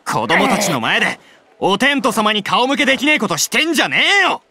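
A man's voice: high voice, very animated, a little fast, sharp tone, husky, dry recording, full character voice. An actor playing an earnest, hot-blooded young man who is easily flustered, in a Japanese anime-style voice drama. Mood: righteous anger.

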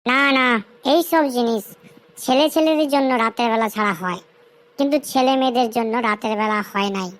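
Cheeky high-voiced cartoon pest, played by a woman. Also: close to an everyday voice. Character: cheeky, pestering cartoon friend, slangy and crude. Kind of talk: Bengali comedy cartoon dialogue.

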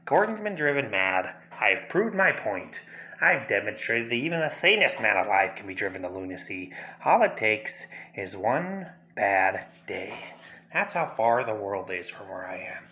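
Crazy clown villain from a comic, bright edgy voice, taunting and erratic. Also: male voice, on the low side, very animated, unhurried, heavily acted. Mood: gloating. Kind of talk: fan dramatic reading of a comic.

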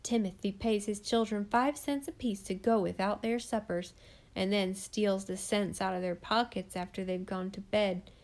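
A woman voicing a sharp-tongued, gossiping eccentric woman who mocks her neighbours in church loudly and with scorn. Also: medium pitch, steady tone, moderate pace, lightly acted. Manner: loud, derisive, scornful.

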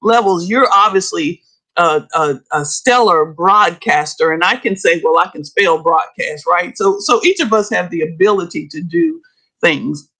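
A middle-aged woman historian speaking as a guest on a video call, telling stories in an animated way that often breaks into laughter. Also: on the low side, measured pace, poor audio. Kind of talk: casual interview chat. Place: home room with bookshelves, indoors.

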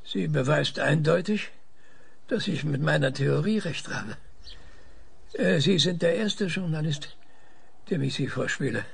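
A man playing a methodical, probing researcher in a science fiction audio drama, questioning in a measured, clinical way. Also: a little high, lively intonation, vocal fry, slightly rough, heavily acted. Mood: earnest, explaining.

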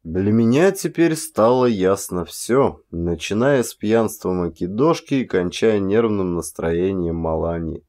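A man reading an audiobook as an actor, telling a literary story in a measured, expressive way. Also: low-pitched.

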